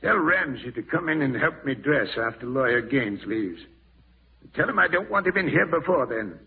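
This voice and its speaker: An actor playing a stern, ailing old farm-owning uncle in a vintage radio drama, deliberate and folksy, with a gravelly, husky voice. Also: male voice, mid-range voice, very animated, heavily acted. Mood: solemn, confiding. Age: older. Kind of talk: 1940s radio drama acting. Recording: muffled old radio recording.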